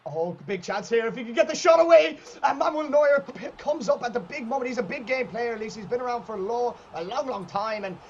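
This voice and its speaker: A man doing excited play-by-play commentary on a video game football match, shouting at goals, and hoarse as he starts losing his voice. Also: very high-pitched, fairly quick, few pauses, dull audio. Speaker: esports commentator.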